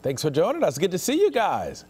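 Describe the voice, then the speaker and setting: A man's voice: sits high, wildly swooping pitch, a little fast, darkish tone, husky. A middle-aged American man presenting upbeat morning TV news in an effusive manner. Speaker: news anchor. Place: TV news studio. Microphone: lapel mic.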